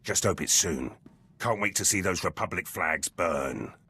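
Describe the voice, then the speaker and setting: Gruff loyal soldier for a game, husky but punchy, clipped blunt lines. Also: male voice, low voice, measured pace, pushed and bright, full character voice. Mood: gruff, eager. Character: gruff, battle-hardened male military commando, loyal and brash. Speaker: soldier companion character in a video game. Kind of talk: scripted game dialogue, clipped sentences.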